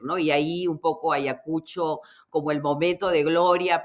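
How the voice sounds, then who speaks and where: A woman hosting a history interview, speaking off the cuff in a measured, formal, academic way. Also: low-pitched, no echo. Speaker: host. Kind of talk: history interview hosting, unscripted closing remarks.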